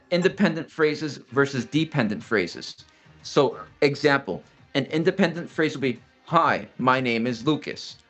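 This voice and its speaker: A man's voice: medium pitch, a bit bright. A man, casually explaining study steps as a guest in a chat, blunt and fond of restarts.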